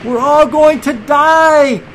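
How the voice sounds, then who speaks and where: A man voicing a terrified fisherman disciple in a Bible story, crying out with desperate, pleading shouts. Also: very high voice, slow, a little hiss or hum, voice pushed up, full character voice. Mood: panicked despair.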